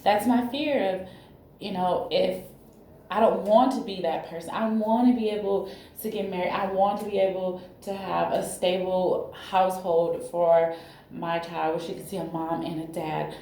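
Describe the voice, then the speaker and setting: A woman in her thirties, talking as a counseling client, halting and anxious as she searches for words. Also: on the low side, fairly even intonation, warm tone. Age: 30s. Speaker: counseling client in a role-play. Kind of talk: emotional personal disclosure. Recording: echoey room recording.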